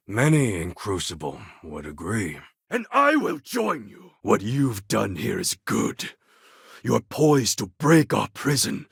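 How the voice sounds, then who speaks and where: An actor playing a proud, warlike clone of a Mongol conqueror in a video game, with a gravelly, husky voice and a deliberate, grandly formal delivery. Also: male voice, on the low side, fairly bright tone, no room sound, studio quality, exaggerated voice. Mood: solemn, proud. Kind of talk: video game character acting.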